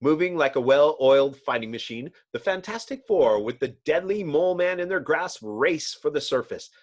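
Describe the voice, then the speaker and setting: A man reads comic-book narration with theatrical flair. His voice sits on the high side and swoops dramatically, giving a bombastic storytelling delivery. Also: lively intonation, normal speed. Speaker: narrator, host.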